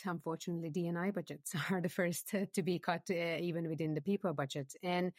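A woman's voice: fairly low pitch, no echo, clean studio sound. A woman with a Hungarian accent, talking earnestly with many fillers and restarts as a guest in a discussion. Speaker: executive producer, guest. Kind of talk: conversational discussion. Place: London, UK.

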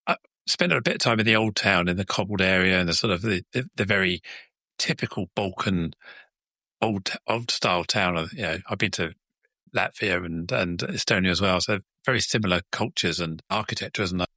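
A man's voice: low voice, fairly quick, bright, forceful tone, no echo. A man hosting a conversational podcast interview, chatty and repeating words as he forms his questions. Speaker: podcast host.

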